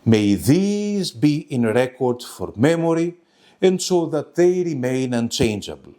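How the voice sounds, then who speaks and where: A man giving an academic lecture in a formal, careful and halting manner. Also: mid-range voice, very animated, dark tone. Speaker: lecturer presenting a web seminar.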